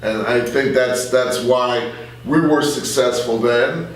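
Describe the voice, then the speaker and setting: A man's voice: medium pitch, takes it slowly, recorded from a distance. Older man in a very echoey room. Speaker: veteran radio broadcaster, interview guest. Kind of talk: casual conversational interview. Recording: very echoey room, off-mic, faint hum.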